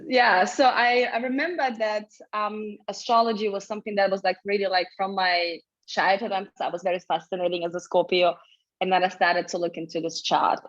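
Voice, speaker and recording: A woman with a Ukrainian accent, telling her story as a guest in an effusive, lively way. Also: on the low side, highs cut off. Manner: effusive, multi-passionate storytelling with laughs. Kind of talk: casual video interview.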